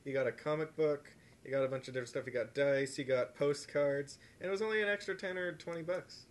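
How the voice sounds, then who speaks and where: A man's voice: on the high side, fast. A young man chatting casually and enthusiastically about video games as a podcast co-host, with firm opinions. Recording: amateur podcast, clear background noise.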